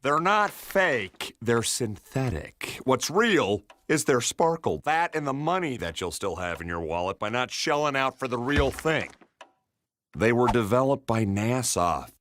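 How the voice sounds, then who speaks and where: An actor playing a pompous, hot-headed young man in an animated comedy game, bossy and melodramatic. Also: mid-range voice, slow, slight background noise. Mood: smug. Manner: bossy, melodramatic, barking orders.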